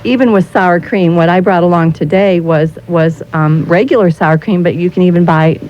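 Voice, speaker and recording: A woman's voice: fairly low pitch, normal speed. A woman giving practical, instructive explanations as the guest spokesperson in an informal radio interview. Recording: dull, noisy old radio recording.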